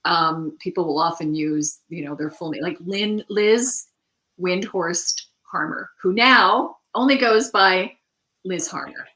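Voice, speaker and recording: A middle-aged woman co-hosting a casual chat about writing, animated and quick to laugh, often restarting her thoughts. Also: on the low side, low-quality recording. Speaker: podcast co-host.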